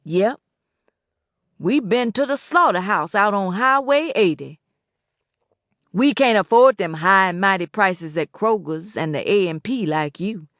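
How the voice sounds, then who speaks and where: A folksy older southern man with a loud, drawling rural way of talking, as a woman reader puts him on. He grumbles and praises the Lord in the same breath, and the sound is dry and close, with no room around it. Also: mid-range voice, lively intonation, unhurried, dry recording. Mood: grumbling folksy. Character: old southern man, folksy, complaining, churchgoing.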